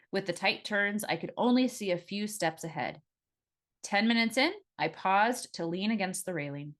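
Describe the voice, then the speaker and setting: A woman reading her own novel aloud as scripted first-person audiobook narration, in an expressive storytelling manner. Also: lowish voice.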